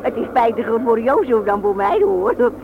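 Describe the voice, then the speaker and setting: An actress playing a feisty, chatty old unmarried aunt in a radio drama, blunt, folksy and jocular. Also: female voice, a little high, sing-song, a little slow, crowd noise. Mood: jocular, bantering. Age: older. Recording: noisy, dull old radio play recording.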